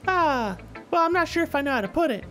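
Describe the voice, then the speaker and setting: Visual novel club leader, played by a woman: teenage girl, high-pitched, upbeat, encouraging, light music underneath. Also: expressive, fast. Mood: cheery. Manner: cheery, chatty, gently coaching. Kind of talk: visual novel character dialogue read aloud. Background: soft background music.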